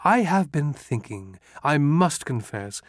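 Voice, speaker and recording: A man voicing a pompous, gushing foreign colonel who rambles in awe, in a pitched-up voice. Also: sing-song, takes it slowly, dry recording, studio quality. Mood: earnest, fawning. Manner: wordy, hesitant, awestruck.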